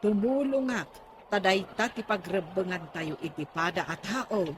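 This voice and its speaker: A woman's voice: mid-range voice, moderate pace, close to an everyday voice. A woman playing a helpful, dutiful village captain's wife in a radio comedy drama, earnest and neighbourly, with an Ilocano accent. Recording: radio drama with clear background noise.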